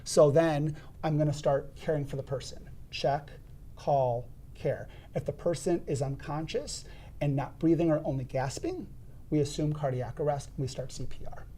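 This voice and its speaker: A man explaining CPR steps as an instructor in a podcast studio, with a loud, emphatic teaching voice and frequent restarts. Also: a little high, normal speed, slight background noise.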